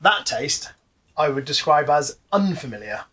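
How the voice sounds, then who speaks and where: A man's voice: fairly high pitch, sing-song, a little slow, bright, forceful tone. An English man in his thirties, co-hosting a casual chat in a living room, with a dry, wry humour. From England. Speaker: co-host. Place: living room with a wall map behind.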